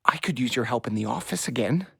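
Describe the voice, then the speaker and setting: Anxious, husky, animated dad. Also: male voice, medium pitch, no echo, clean studio sound, full character voice. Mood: awkward, earnest. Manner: hesitant, halting, earnest. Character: awkward, anxious, bird-loving middle-aged dad. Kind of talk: naturalistic audio play acting.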